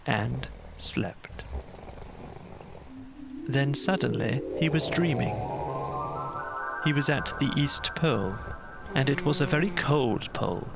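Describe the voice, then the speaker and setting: A man narrating a scripted bedtime story as an audiobook storyteller, in a gentle, measured way. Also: mid-range voice, creaky.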